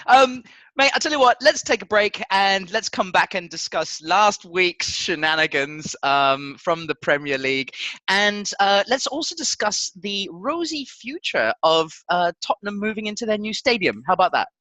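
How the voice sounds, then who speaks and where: A man hosting a football podcast with casual, excitable, jokey banter and a halting delivery. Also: sits high, no echo. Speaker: podcast host.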